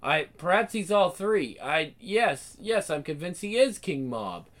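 A haughty upper-class gentleman now rattled and on edge. His speech is clipped and refined, with a nervous strain underneath. He sounds like a radio-drama aristocrat. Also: on the high side, sing-song, measured pace. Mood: pleading. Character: haughty aristocratic Englishman, uneasy mind-reader.

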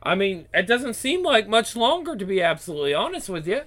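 High-voiced profane man rambling. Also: big pitch swings, a little fast, a bit bright. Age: 30s. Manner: blunt, profane, rambling with many restarts. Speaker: YouTube channel host. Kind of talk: casual chat.

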